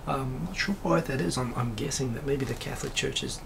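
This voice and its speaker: Man, raspy and heavily gravelly, loose rambling live-stream host, steady hiss behind. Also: mid-range voice, a little fast. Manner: rambling, many fillers and asides. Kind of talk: casual live-stream chat reading comments.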